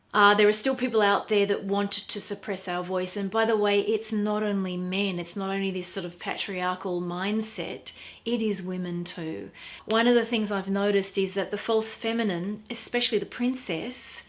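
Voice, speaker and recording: Soft-voiced middle-aged woman teacher. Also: medium pitch. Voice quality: quiet, soft voice. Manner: earnest, emphatic, repeats for stress. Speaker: feminine empowerment teacher. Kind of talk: direct-to-camera talk. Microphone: clip-on mic.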